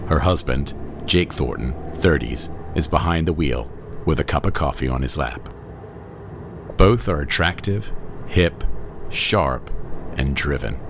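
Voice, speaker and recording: A man reading screenplay action lines in a measured, descriptive narration. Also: a bass voice, background noise.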